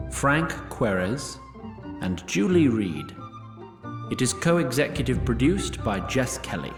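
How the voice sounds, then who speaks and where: Animated male storyteller with wry delivery. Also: mid-range voice, echoey room. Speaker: narrator. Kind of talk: scripted storytelling narration.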